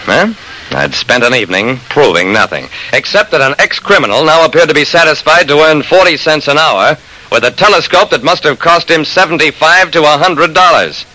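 A man's voice: fairly high pitch, lively intonation, a little fast, sharp tone, full character voice. An actor playing a shrewd, persistent private investigator narrating his case in an old-time radio drama, with clipped, hard-boiled delivery. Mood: measured, matter-of-fact. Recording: noisy vintage radio recording.

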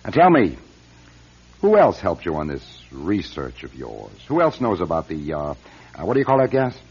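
An actor playing a shrewd, guarded car company chief in a radio drama, speaking with authority and probing. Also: male voice, low-pitched, big pitch swings, moderate pace, darkish tone, husky, exaggerated voice. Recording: dull, muffled radio drama recording.

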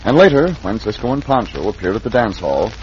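An American man narrating a 1940s radio serial with dramatic, crisp storytelling. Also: on the low side, very animated, a little fast, a little mellow, slight background noise. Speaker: announcer and narrator. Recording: muffled vintage radio, faint organ music.

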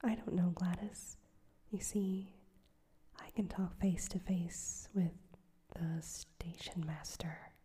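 A woman voicing an earnest, lovestruck young man in a soft whisper. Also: fairly low pitch, steady tone, slow, breathy edge, heavily acted. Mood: pleading. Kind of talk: whispered audiobook reading.

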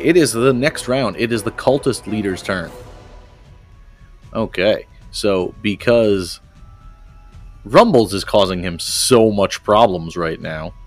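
A man's voice: fairly low pitch, no echo. A man acting as game master, calling rolls and rulings in a tabletop game. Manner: rules-focused, quick to adjudicate.

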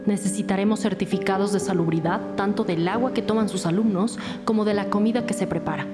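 A woman's voice: mid-range voice, fast talker, over loud music, natural voice. A woman playing a blunt, commanding lead police detective in a crime audio drama, speaking formally. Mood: formal, probing.

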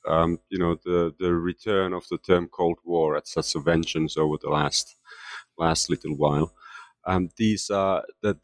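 A middle-aged man from Finland, a history professor, answering interview questions with many fillers and restarts. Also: sits low. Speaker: guest author, history professor.

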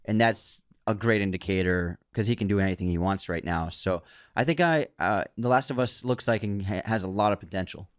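A man co-hosting a casual podcast, relaxed and chatty, quick to agree with the others. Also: lowish voice, clear, resonant tone, dry recording, studio quality. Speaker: podcast co-host.

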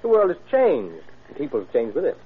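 An actor playing a practical young doctor, a fond son reassuring his mother in a brisk, offhand way in an old radio drama. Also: medium pitch, huge pitch swings, husky, exaggerated voice. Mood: concerned, reassuring. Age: young adult. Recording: thin, tinny old radio recording.

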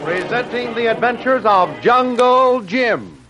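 A man's voice: very high voice, lively intonation, unhurried, muffled recording, over loud music. A man announcing and narrating an old 1930s radio serial in a declamatory, dramatic, scripted style.

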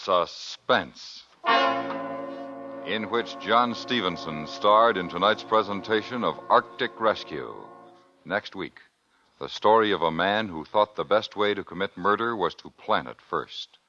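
Low-pitched American radio announcer man. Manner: formal, measured. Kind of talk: 1950s radio announcing, scripted. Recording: muffled old radio recording, loud music.

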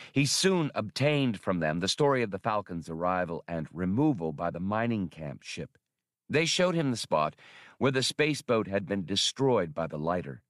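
A man narrates an adventure audiobook in a low voice that moves widely up and down. He reads deliberately and expressively, and the sound is dry and close. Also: no room sound. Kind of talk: scripted narration of a science fiction adventure novel.

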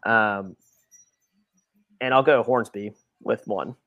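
A man on a casual sports podcast panel, speaking haltingly and repeating his phrases. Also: fairly low pitch, a bit bright, no room sound, dull audio.

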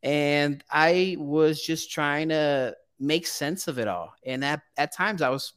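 A young man from Brazil, a counseling student, chatting as a guest in a casual interview, animated and laughing often. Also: on the high side, slow, clear, resonant tone.

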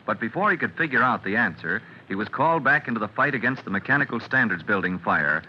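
A man's voice: on the low side, animated, fast talker. A man narrating a vintage radio drama as announcer, in a dramatic, declamatory style. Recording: muffled, noisy old radio recording.

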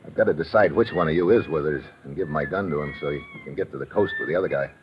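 A man's voice: rumbling low, fast, background noise. A man playing a tough, weary American adventurer in an old radio drama, clipped and slangy as he narrates and gives orders. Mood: urgent. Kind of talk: old-time radio adventure acting, hard-boiled narration. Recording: dull vintage radio recording, music behind.

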